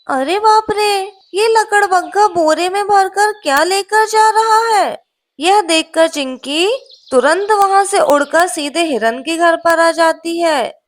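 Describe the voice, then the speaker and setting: A woman narrating a scripted children's story. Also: very high-pitched.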